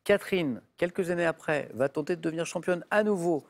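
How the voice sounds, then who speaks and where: Upbeat higher-voiced male quiz host. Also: fairly quick, vocal fry. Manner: upbeat, chatty, reads clues with emphasis. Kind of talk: lively game show hosting. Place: television studio.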